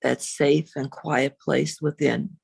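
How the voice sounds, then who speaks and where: A woman reverend leading a guided meditation in a slow, soothing, unhurried way, with long pauses. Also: level tone, darkish tone, no room sound. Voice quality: low, husky, creaky.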